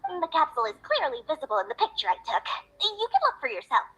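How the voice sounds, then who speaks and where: A woman's voice: high-pitched, wildly swooping pitch, quick pace, fairly bright tone, full character voice, low-quality recording. A woman voicing a small, sly, greedy merchant in a video game, wheedling with flowery, gushing politeness. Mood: secretive. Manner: wheedling, flowery salesperson talk.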